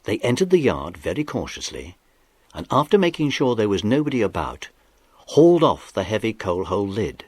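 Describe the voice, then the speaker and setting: A man narrating a children's story in an expressive, dramatic scripted style. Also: on the low side, normal speed, slightly rough, no room sound.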